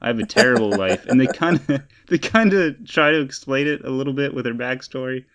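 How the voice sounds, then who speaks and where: A man's voice: medium pitch, a little fast, fairly bright tone. A man co-hosting a casual pop-culture podcast, talking in an opinionated, emphatic and rambling way. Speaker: podcast co-host.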